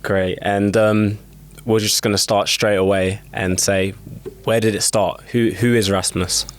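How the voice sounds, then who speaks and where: Young male host with a low voice. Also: level tone. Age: young adult. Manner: eager, quick follow-up questions. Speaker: podcast host. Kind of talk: interviewer.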